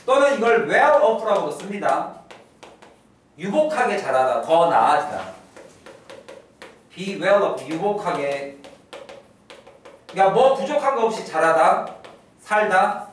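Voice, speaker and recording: High-pitched guy teaching english, lively voice, drills phrases slow, room echoes a lot, mic far away. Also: a little hiss or hum. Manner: animated, repeats key phrases slowly, drills students. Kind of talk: exam prep lecture.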